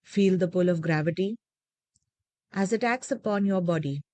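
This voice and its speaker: A woman leading a guided meditation with gentle, measured, soothing instructions and deliberate pauses. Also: on the low side, no echo.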